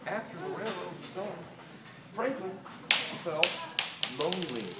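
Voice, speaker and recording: A middle-aged American man reads a children's storybook aloud with dramatic pauses, as if to young listeners. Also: high voice, lively intonation. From Delaware, United States. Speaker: children's author reading his book aloud. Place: inside a small toy shop. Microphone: camera a few metres away. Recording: roomy, distant, noisy phone-camera recording.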